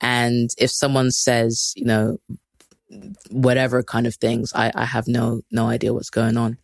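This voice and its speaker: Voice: mid-range voice, monotone, measured pace, gravelly, no echo. Chatty rambling podcast host from Kenya. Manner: rambling and chatty, heavy use of "like", laughs at self; self-deprecating, motivational. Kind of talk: casual solo live-stream talk.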